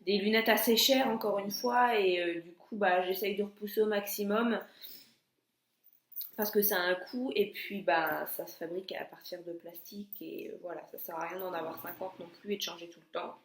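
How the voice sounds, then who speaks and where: A woman's voice: mid-range voice, steady tone, normal speed. Young woman, stuffy nose from a cold, chatty with many hesitations, echoey room. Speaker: vlogger. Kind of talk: casual chat.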